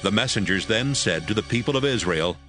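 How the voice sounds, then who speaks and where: A man's voice: on the low side, lively intonation, a little fast. A man narrating scripture from a script, as the narrator of an audio drama. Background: music.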